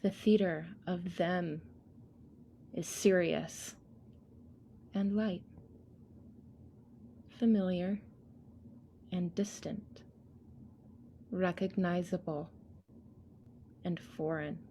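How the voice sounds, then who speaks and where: A woman recites poetic spoken word at a slow, deliberate pace. Her delivery is solemn and measured, with faint noise behind her. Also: lowish voice, steady tone, warm tone.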